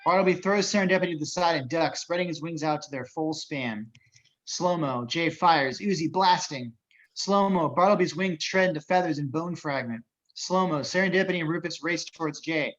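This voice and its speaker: A man's voice: fairly high pitch, normal speed, a bit bright, muffled recording. A man narrating the action of a script as reader of stage directions in an amateur table reading.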